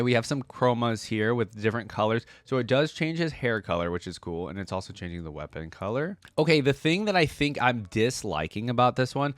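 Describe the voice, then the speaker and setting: A man's voice: mid-range voice, dry recording. A man with a slightly dry, close sound and a mid-range voice gives casual reaction commentary as a video host. He speaks informally and slangily, thinking aloud as he goes.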